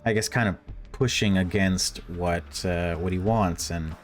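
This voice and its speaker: A man's voice: low-pitched, measured pace, faint music behind. A man giving casual playthrough commentary as a game streamer, informally thinking aloud.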